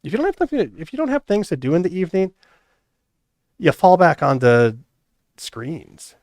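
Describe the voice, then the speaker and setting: A man's voice: high voice, wildly swooping pitch, moderate pace, darkish tone, dry recording, clean studio sound. A middle-aged man hosting a podcast in casual, joking conversation, with loose restarts. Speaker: podcast host.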